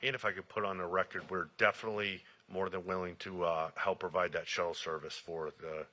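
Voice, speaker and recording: A very bright, forceful male voice with a naturally loud delivery, pitched a little low. He tends to lean off the podium mic, so the level keeps dipping. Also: level tone, a little fast. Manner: loud voice, speaks away from the mic. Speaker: public commenter, shuttle cart driver. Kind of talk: public comment at city meeting. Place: city commission chamber. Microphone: podium microphone, often off-mic.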